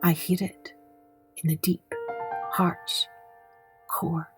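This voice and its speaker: A woman reading poems and stories aloud for children in an unhurried, dramatic storytelling style, with phrases that trail softly away. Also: lowish voice, little pitch movement, over music. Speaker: children's storytelling podcast host and reader.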